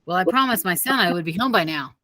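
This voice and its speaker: A woman's voice: lowish voice, animated, fast talker, sharp tone, no room sound. A woman reading the part of a reluctant, homesick father in a children's story, hesitant and mildly protesting. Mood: uneasy, protesting. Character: reluctant, homesick father among a band of men.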